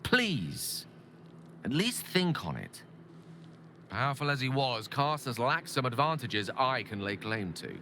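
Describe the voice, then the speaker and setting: A man's voice: medium pitch, sing-song, measured pace, bright, forceful tone, a little hiss or hum, heavily acted. A man playing an eloquent, ambitious and embittered wizard in a video game, speaking with earnest, theatrical and formal articulation. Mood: earnest, persuasive. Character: ambitious, eloquent, embittered male wizard pleading his case. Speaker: video game companion character. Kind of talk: dramatic game dialogue acting. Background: background music.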